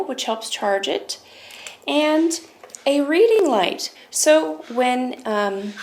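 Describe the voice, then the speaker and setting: Higher-voiced woman demonstrating a product. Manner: explanatory, step-by-step. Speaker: product review presenter.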